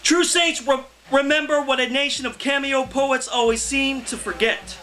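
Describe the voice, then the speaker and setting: A young man, a poet, reading his verse aloud live in a loud, theatrical, impassioned delivery. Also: extremely high pitch, moderate pace. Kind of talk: live poetry reading, declaimed. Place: indoor cafe reading before a small audience.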